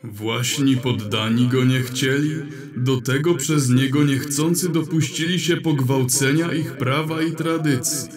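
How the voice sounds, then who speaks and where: A man playing a calm, wry wizard in a fantasy audio drama, with a dry manner. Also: mid-range voice, over music, close to an everyday voice. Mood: wry musing. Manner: unhurried, dry. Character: calm wry male wizard, quietly amused.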